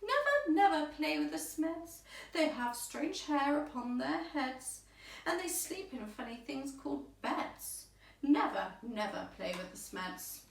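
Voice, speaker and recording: A bossy storybook alien granny, acted out by a woman, pitched high and loud. She scolds in emphatic rhyme, indignant and forbidding. The sound is roomy, with the mic some way off. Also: big pitch swings, unhurried, vocal fry, exaggerated voice. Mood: stern warning. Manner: emphatic, chanting rhymed warnings. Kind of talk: children's storybook character voice. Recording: roomy echo, distant microphone.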